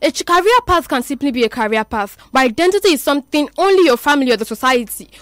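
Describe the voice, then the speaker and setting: A woman's voice: high voice, expressive, fast, dry recording, studio quality, faint music behind. A young woman with a Nigerian accent gives an emphatic rehearsed debate speech as a student, stumbling and repeating phrases. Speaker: student debater, chief speaker for opposition.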